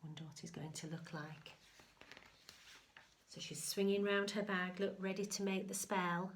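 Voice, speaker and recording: Slow-paced woman reading a children's story. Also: medium pitch, slight background noise. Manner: expressive sing-song reading, hushed asides. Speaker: infant school story reader. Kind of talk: children's picture-book read-aloud with commentary.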